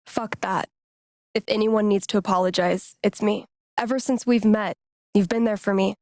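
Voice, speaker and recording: A woman playing a cool, sarcastic, warm-hearted young indie musician in a video game, with an American accent and casual, slangy delivery. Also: mid-range voice, fairly even intonation, moderate pace, no room sound, studio quality, close to an everyday voice. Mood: apologetic. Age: young adult. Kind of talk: naturalistic video game voice acting.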